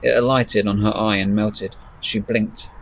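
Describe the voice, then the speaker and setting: A man reading his own story as dramatic narration, with measured, deliberate pauses. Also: lowish voice, level tone, creaky. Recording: faint background noise.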